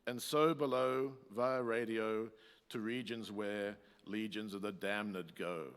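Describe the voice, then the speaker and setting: A man's voice: lowish voice, steady tone, slow pace, a bit bright, a little hiss or hum. A man narrating a radio play in rhyme, with a declamatory storytelling manner. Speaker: announcer and narrator. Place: community hall, live performance. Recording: reverberant hall.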